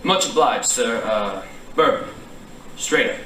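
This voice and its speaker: A man's voice: mid-range voice, expressive, slow, sharp tone. Earnest, courteous police inspector male actor, mid-range voice, radio drama on a noisy, roomy stage. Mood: polite, reserved. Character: polite, earnest New Orleans police inspector. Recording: echoey distant stage, noisy.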